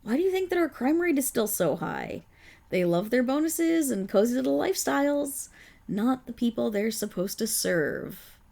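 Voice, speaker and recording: A woman playing a silky, gravelly villain boss. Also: on the high side, animated, normal speed, warm tone. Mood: scornful gossip. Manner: silky, condescending, purring endearments. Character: suave, scheming villain boss, possessive and protective. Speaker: voice actor. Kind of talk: ASMR roleplay audio drama.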